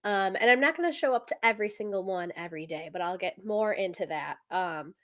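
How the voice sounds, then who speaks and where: A woman's voice: medium pitch, lively intonation, fairly quick, fairly bright tone. Congested woman hosting a podcast. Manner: chatty, many fillers, thinks aloud with math. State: congested, slightly rough voice. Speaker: podcast host. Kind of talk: casual solo business talk.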